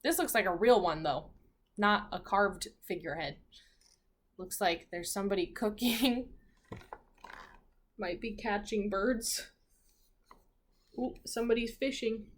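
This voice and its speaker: A woman's voice: medium pitch, a little hiss or hum. A woman teacher reading a picture book to young children, expressively, with chatty asides and questions. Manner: expressive, pausing to comment on pictures and prompt children. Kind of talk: storybook read-aloud with asides and questions to young listeners.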